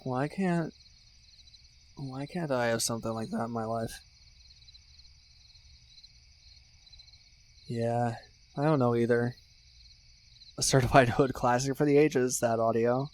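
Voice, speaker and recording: Casting: male actor for excitable male best friend and sentimental superfan, mid-pitched, dramatic, gushing, chatty one-sided audio roleplay. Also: normal speed, pauses often, a little hiss or hum, close to an everyday voice. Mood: wistful, gushing. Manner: effusive, dramatic, gushing with mock-serious exaggeration. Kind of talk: ASMR roleplay acting, casual one-sided chat.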